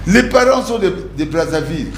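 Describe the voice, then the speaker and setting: An older pastor from the Congo with a Congolese French accent, answering interview questions with anecdotes and frequent laughter. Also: male voice, a little high, big pitch swings, moderate pace. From Democratic Republic of the Congo. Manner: laughs while telling stories, repeats words. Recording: echoey room with background noise.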